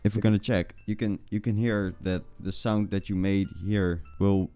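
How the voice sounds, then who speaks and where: A young adult man hosting a casual tutorial in an informal, halting way, often repeating words. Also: on the low side, little pitch movement, mellow, smooth, faint music behind. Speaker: tutorial video host. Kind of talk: casual screen-recording tutorial.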